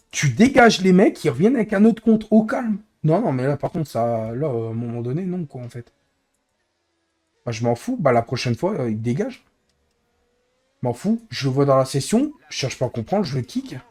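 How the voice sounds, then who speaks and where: A hoarse man who is losing his voice, grumbling in exasperation as he comments live on his racing game stream. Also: medium pitch, big pitch swings, moderate pace, a little mellow. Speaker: streamer and racing league head.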